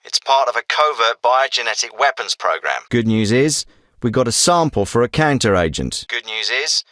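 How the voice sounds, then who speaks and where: Commanding special forces leader, video game, clipped briefing style, lively pitch, dry close sound. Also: male voice, moderate pace, no echo. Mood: brisk, serious. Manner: clipped, businesslike military briefing delivery. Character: commanding male special forces team leader, terse and focused. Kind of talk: scripted military radio dialogue.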